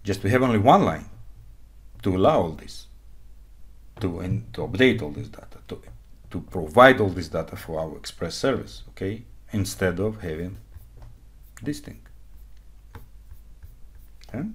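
A man's voice: low-pitched, expressive, measured pace, pauses often. A man in his forties who is not a native English speaker, giving a live coding talk at a conference and explaining step by step with frequent "okay?" checks. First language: not English; speaks Hebrew, Russian, Ukrainian. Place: home room at desk.

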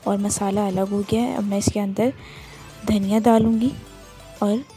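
A woman's voice: mid-range voice, steady tone, measured pace, music in the background. A woman with a Pakistani Urdu accent, walking through a home recipe step by step as she cooks in her kitchen. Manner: plain, instructive, explains each step while working. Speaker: cooking channel host.

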